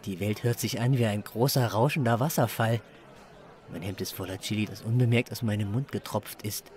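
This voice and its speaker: A man's voice: fairly low pitch, fairly even intonation, brisk, a little mellow, lightly acted. A man playing a crude, despairing chili judge who complains melodramatically in a comic story. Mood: resigned despair.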